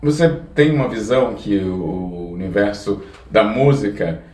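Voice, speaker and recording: A man's voice: fairly low pitch, a little slow, darkish tone. A Brazilian man interviewing casually, with hesitant, rambling questions. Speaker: podcast interviewer. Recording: echoey room, distant from mic.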